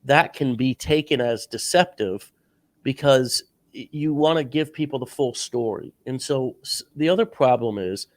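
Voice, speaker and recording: A man's voice: medium pitch, normal speed, no room sound. Stuffed-up man with a sore throat. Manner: earnest, coaching, advice-giving. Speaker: podcast host. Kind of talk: casual interview chat.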